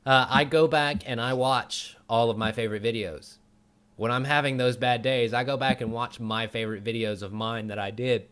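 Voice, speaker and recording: A man's voice: medium pitch, steady tone, normal speed, fairly bright tone, clean tone. A man hosting a casual conversational interview, talking in an encouraging, reassuring and jokey manner. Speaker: interview show host.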